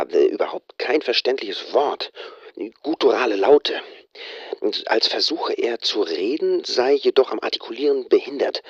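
An actor playing a secretive, suspicious man who dictates private notes in clipped, muttered, often whispered phrases, with a husky voice. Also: low-pitched, a bit bright, no echo, full character voice. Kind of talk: radio drama monologue, dictated diary.

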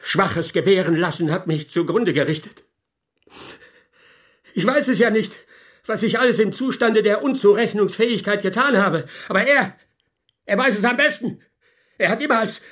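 A broken, weak-willed father in a radio drama, his voice clearly high for a man. He speaks with halting, impassioned anguish. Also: a bit bright. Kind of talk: German radio drama acting.

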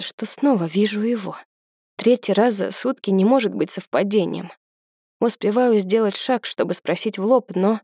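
A woman narrating a first-person novel in a measured, even storytelling manner. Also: mid-range voice, no room sound, clean studio sound. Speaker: audiobook narrator.

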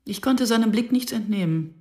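A woman's voice: fairly high pitch, a little fast, darkish tone, lightly acted. An actress playing a wry, self-questioning Israeli woman writer who narrates a radio drama in a dry, reflective, intimate way. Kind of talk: radio drama acting with first-person narration.

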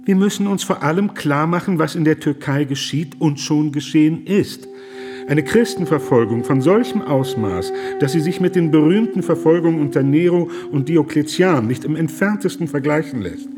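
A man's voice: on the high side, close to an everyday voice. Guy playing an earnest pastor pleading urgently in a radio drama, grave and indignant, formal wording, music behind him. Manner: grave, emphatic, deliberate formal argument.

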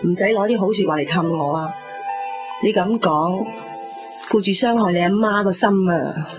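A woman's voice: fairly low pitch, moderate pace, lightly acted. A woman playing a protective middle-aged mother in a Hong Kong Cantonese radio drama, speaking in a pointed, controlled, sarcastic way. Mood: indignant. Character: protective, sharp-tongued middle-aged mother, guarded and stern. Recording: dull 1990s radio recording, music behind.

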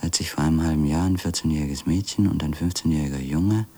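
An actor playing a brooding, wearily proud former editor who narrates a literary radio drama in a halting manner. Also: male voice, very deep voice, warm tone. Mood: matter-of-fact. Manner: halting, repeats words, trails into murmurs. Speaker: narrator and protagonist of a radio play.

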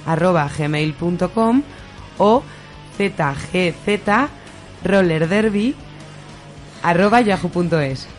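A woman's voice: fairly low pitch, slow pace, long pauses, faint music behind. A woman with an accent from Spain, answering as a guest in a casual radio interview. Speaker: interview guest, roller derby player.

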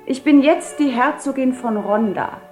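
A fairly high female voice as a proud, self-possessed widowed duchess in a 1970 radio play. She speaks with measured, solemn theatricality, with faint music behind her. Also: a little mellow. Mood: stately, persuasive.

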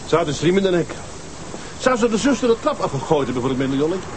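Calm dutch detective, measured questions, noisy tv sound. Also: male voice, high voice. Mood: pondering. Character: middle-aged male police detective, calm and dry.

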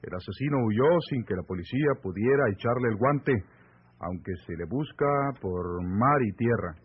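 An actor playing a stern, questioning rural man with a Mexican accent, speaking deliberately and emphatically in an old radio serial. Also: lowish voice, animated, breathy edge. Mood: grave. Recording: noisy, dull vintage AM radio recording.

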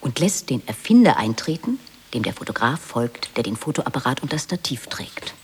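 A woman's voice: extremely low pitch, very animated, normal speed, a little mellow, whispered. A woman reading stage directions as the narrator of a radio play in a dramatic scripted style.

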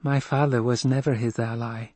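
A man voicing a defiant, coolly malicious little girl in an audiobook, calm and precise in manner. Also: mid-range voice, normal speed, dry recording, voice pushed up, close to an everyday voice. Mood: cool defiance. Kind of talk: dramatic audiobook character voice.

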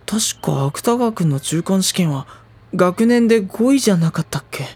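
A woman playing an exasperated, wry high-school boy in an audio drama, given to dry retorts and flustered protests. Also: expressive, normal speed, darkish tone, slightly rough. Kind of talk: anime-style audio drama acting.

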